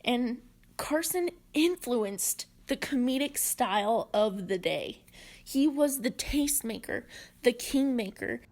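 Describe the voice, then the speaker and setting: Slow-paced, higher-voiced woman presenting. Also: animated, a little mellow. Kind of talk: prepared video-essay narration on comedy history.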